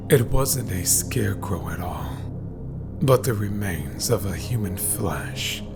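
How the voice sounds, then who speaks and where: A man reading scripted horror stories in a dramatic, deliberate way, with phrases that often trail into a hush. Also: fairly low pitch. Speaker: horror story narrator. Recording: background music behind voice. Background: music.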